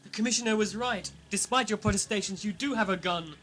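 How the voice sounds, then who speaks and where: A man's voice: high voice, fast talker, fairly bright tone, a little hiss or hum. A man playing a formal, honourable alien ambassador with a dry, stately manner in a sci-fi audio drama. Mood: indignant.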